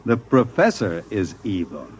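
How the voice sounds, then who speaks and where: A suave, scheming crook, sinister under mock politeness. His lowish voice swings widely as he coaxes and commands in a vintage radio play, with some hiss on the recording. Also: big pitch swings, unhurried. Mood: sinister, commanding. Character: smooth, scheming male crook, manipulative and sinister. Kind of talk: old-time radio drama acting.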